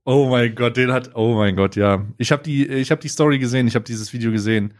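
A man co-hosting a podcast in casual chat, laid-back and halting, with many fillers and restarts. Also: medium pitch. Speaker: podcast co-host.

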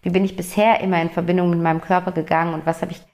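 A woman talking calmly and gently to the listener in a reflective self-help monologue, her voice slightly hoarse. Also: lowish voice, rapid-fire. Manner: calm, gentle, addresses the listener directly.

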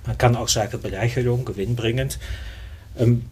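A man in his fifties with a Dutch accent, a podcast guest talking in a reflective way and often pausing to find his words. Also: mid-range voice, fairly even intonation, crowd noise. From Netherlands. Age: 50s. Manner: reflective, searches for words with many fillers. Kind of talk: casual interview talk.

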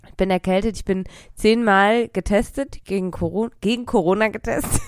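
A woman's voice: mid-range voice, fairly even intonation, moderate pace, clean tone, no echo. A young woman with a cold, chatting casually as a podcast co-host at a close mic, rambling with fillers and frequent laughs. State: has a cold. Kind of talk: casual chat. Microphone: close studio mic.

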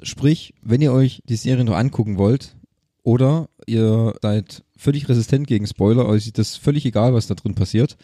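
A man's voice: mid-range voice, normal speed, mellow, dry recording, clean studio sound. A man, chatting casually and colloquially as a podcast co-host, with a joking manner. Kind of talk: casual unscripted podcast chat.

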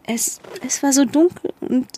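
A woman playing a distraught, guilt-ridden young undercover policewoman in an audio drama, halting and stammering with a breathy, unsteady voice. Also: high voice, mellow, slight background noise. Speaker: actor in a fiction podcast. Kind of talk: naturalistic German audio drama.

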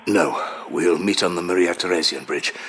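A man's voice: low voice, normal speed, heavy background noise, natural voice. A man playing a grave psychoanalyst professor in an audio drama, formal, clipped and intent. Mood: determined. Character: Sigmund Freud, grave professor and psychoanalyst, urgent.

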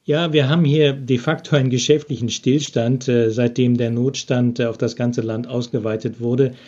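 A man's voice: mid-range voice, steady tone, normal speed, a little mellow, dull audio. A man being interviewed from abroad about business, answering with many hesitant filler sounds over a remote connection. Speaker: business expert interviewed from Japan.

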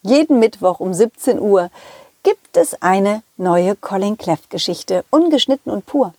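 A woman telling a story to children in the first person, with expressive emphasis and direct address to young listeners. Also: medium pitch, a little slow, no room sound, clean studio sound.

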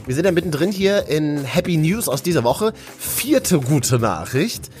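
A man's voice: fairly high pitch, very animated, a little slow, a little mellow, soft background music. A man from Berlin hosting a local news show in a cheerful, casual way, full of jokey asides. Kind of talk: casual upbeat news chat.

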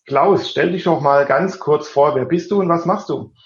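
Swabian guy hosting a podcast, gravelly and a bit high, dull roomy sound. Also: normal speed. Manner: conversational, asks questions. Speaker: podcast host. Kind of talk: podcast interview.

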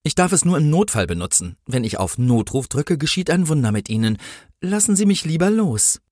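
A man's voice: fairly high pitch, big pitch swings, brisk, a little mellow, no room sound, studio quality, voice pushed up. A man voicing a pompous, easily indignant Christmas angel in a comic story, speaking in a solemn, preachy, formal way. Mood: warning.

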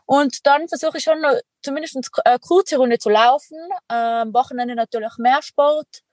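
A woman's voice: sits high, moderate pace, a little mellow, no room sound. A young woman with a Tyrolean accent, chatting casually as a podcast guest, upbeat and full of little fillers and laughs. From Tyrol, Austria. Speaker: guest, extreme athlete and entrepreneur. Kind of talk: casual interview chat.

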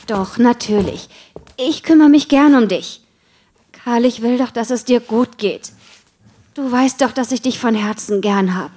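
A woman's voice: a little high, a little slow, darkish tone. A woman playing a frightened pleading wife. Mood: tender. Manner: tender then panicked pleading.

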